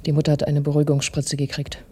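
A woman's voice: low voice, monotone, fast talker, dark tone, close to an everyday voice. A woman playing a sober, matter-of-fact police detective in a radio drama, reporting plainly.

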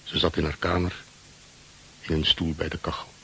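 Deep, clear-edged brooding ship's mate. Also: male voice, flat delivery, normal speed, pushed and bright, heavily acted, rough recording. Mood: brooding, reflective. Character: brooding ship's first mate, narrating his own story. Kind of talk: Dutch radio play acting and narration. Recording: hissy old radio recording.

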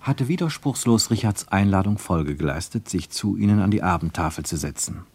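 Low-voiced, wry narrator. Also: male voice, expressive, a little mellow, husky, dry recording. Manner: measured, wry and ironic. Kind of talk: scripted literary narration; 1950s radio play.

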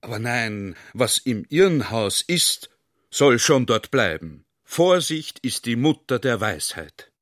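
An actor playing a courteous, cautious military general with formal, ornate politeness in a radio play. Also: male voice, mid-range voice, big pitch swings, takes it slowly, a bit bright, dry recording, studio quality. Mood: cautious, dismissive.

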